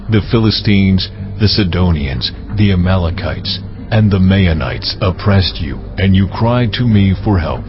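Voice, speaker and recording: A deep, solemn God of scripture drama, acted by a man, stern and reproachful. Every phrase is slow and weighty. Music plays clearly behind the slightly dull recording. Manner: slow, weighty, deliberate delivery. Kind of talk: dramatized audio Bible acting. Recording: dull band-limited audio, music underneath.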